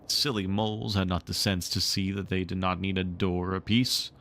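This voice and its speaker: A man's voice: low-pitched, level tone, fairly bright tone, voice pushed down. A man voicing a villainous pirate captain in a storybook, gloating and menacing, drawing his words out through his teeth. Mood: scheming menace. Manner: slow, drawn-out speech through clenched teeth.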